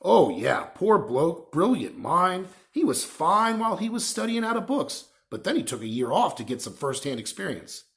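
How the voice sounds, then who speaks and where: A man voicing a gruff, friendly giant with rustic, colloquial speech in a storybook reading. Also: high-pitched, very animated, normal speed, hardly any pauses, voice pushed up, heavily acted. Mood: chatty, booming.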